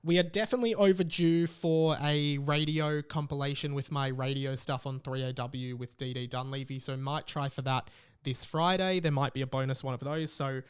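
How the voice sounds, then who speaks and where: A man's voice: medium pitch, fairly even intonation, normal speed, clear, resonant tone. An Australian man hosting a solo podcast in a casual, rambling and informal way, with exasperated asides. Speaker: podcast host.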